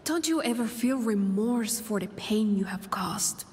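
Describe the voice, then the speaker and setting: A woman's voice: mid-range voice, animated, measured pace, a little mellow, close to an everyday voice. An actress playing a guarded, brooding, blunt young warrior woman in a video game. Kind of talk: video game character dialogue. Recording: faint game ambience noise.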